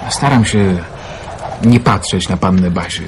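A reserved, hardened gentleman in hiding in a wartime radio play. His voice is fairly low, and the recording is clearly noisy. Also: a little slow. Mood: guarded. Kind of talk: Polish radio drama acting.

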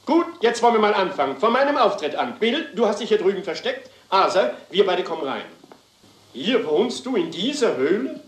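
A man's voice: sits high, sing-song, normal speed, echoey room. A man playing a self-important, flamboyant theatre director with a grandiose, declamatory manner in a German crime comedy. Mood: impatient, bossy.

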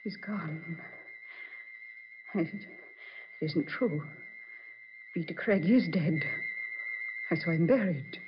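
An actress in a 1940s radio horror drama playing a frightened young nurse, halting, breathless and dramatic. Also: female voice, fairly low pitch. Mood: dread. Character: frightened young nurse haunted by a dead man. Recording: muffled, noisy old radio recording.

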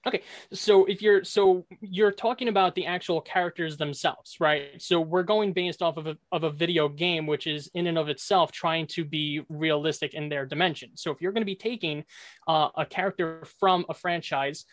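A man with a fairly high voice argues his side in an unscripted debate. He explains step by step and keeps checking that listeners follow. The sound is dry, with very little room in it. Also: steady tone, normal speed, no room sound. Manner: explanatory, checks with rhetorical "right?". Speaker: debate contestant.

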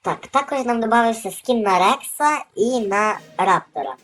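A woman's voice: fairly high pitch, animated, unhurried, a bit bright. A woman hosting a gaming video with casual, off-the-cuff gameplay commentary.

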